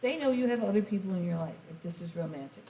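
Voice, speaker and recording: A woman giving a casual tarot reading, rambling and speaking straight to the listener, her voice going hoarse. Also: mid-range voice, expressive, fast, warm tone. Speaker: tarot reader. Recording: echoey room, faint hiss.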